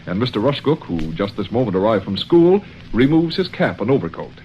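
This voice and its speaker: A man's voice: lowish voice, very animated, fairly quick, dull audio, slight background noise. A man announcing a 1930s radio show, setting the scene in folksy, scripted storytelling.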